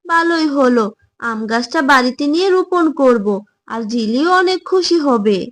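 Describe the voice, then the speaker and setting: A woman plays a kind jackal mother in a children's cartoon fable. Her voice is high, gentle and motherly, with a thrifty, practical turn. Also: animated, moderate pace. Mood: curious, pleased. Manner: gentle, motherly, thinking aloud. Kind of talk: Bangla cartoon fable voice acting.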